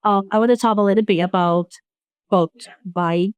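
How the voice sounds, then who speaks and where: A woman's voice: mid-range voice, fairly even intonation, moderate pace, smooth, dry recording. A woman from Thailand, giving a comment and question from an audience.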